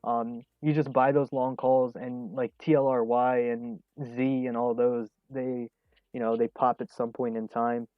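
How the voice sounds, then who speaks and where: A man of about twenty talks loosely while reading chat questions on a trading stream, mid-pitched and relaxed, dropping in plenty of ums and little laughs. The sound is narrow and tinny, like a phone line. It is dry, with no room echo. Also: measured pace. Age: 20s. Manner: relaxed, chuckles often, many fillers. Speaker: stock trading livestream host. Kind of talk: casual livestream chat reading viewer questions.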